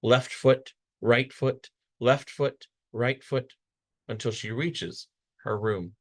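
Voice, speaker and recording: A man reading a children's picture book aloud with expressive, dramatic storytelling delivery. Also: mid-range voice, takes it slowly. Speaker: storybook reader.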